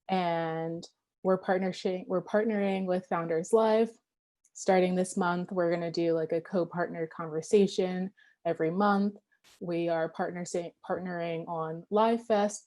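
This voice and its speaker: A woman's voice: lowish voice, warm tone. A woman representing a partner organization, giving upbeat announcements with frequent ums. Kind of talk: casual announcement. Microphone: video call.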